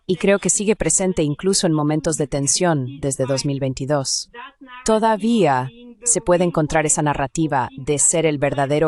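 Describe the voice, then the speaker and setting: A woman with a low-pitched voice speaks as an academic guest in an interview. She explains her points analytically and at length, in a discursive manner. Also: darkish tone. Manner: analytical, discursive, with rhetorical check questions.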